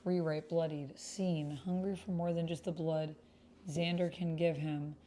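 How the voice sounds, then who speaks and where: A woman with a slightly low, soft-edged mellow voice hosts a livestream of a text roleplay game. She talks straight to her viewers in a chatty way and moves between casual remarks and reading game posts aloud. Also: flat delivery, a little slow, slight background noise. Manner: chatty, addresses viewers directly. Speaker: streamer hosting a tabletop roleplay game.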